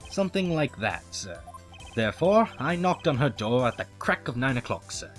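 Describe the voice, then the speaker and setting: A man's voice: medium pitch, sing-song, moderate pace, soft background music, lightly acted. A man voicing an obsequious, formal head bellboy with an over-precise manner in a video game fandub. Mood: assured. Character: eager, obsequious male head hotel bellboy.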